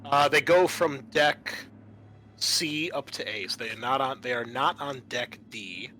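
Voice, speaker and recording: A man hosting a tabletop roleplaying game as game master, narrating off the cuff and pausing to think aloud. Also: medium pitch, pushed and bright, a little hiss or hum.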